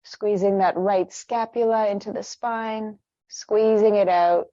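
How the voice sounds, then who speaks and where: A woman leading a guided meditation with stretches, speaking in a gentle, soothing, unhurried manner. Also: medium pitch, fairly even intonation, no room sound, lo-fi sound.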